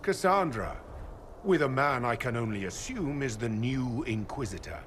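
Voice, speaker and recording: An actor playing a zealous leader of a holy order in a video game, preaching in a grandiose, deliberate manner. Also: male voice, medium pitch, expressive, background noise, lightly acted. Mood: coolly grandiose. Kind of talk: dramatic video game villain monologue.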